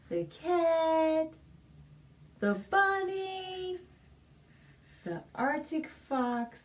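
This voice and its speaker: A woman reading a picture book aloud to young children in an expressive sing-song manner, asking the listeners questions, recorded at a distance from the microphone. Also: on the high side. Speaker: children's library storytime reader.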